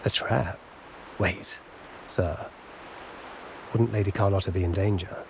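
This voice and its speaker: An actor playing a loyal, composed aide in a video game, speaking politely and analytically. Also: male voice, sits low, fairly even intonation, unhurried, noisy background. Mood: concerned.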